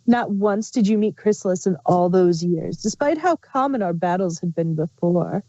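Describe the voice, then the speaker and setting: A woman's voice: fairly low pitch, lively intonation, moderate pace, dark tone. A woman playing a sly, wistful changeling queen villain in a fan fiction reading. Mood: smug. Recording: muffled, roomy home recording.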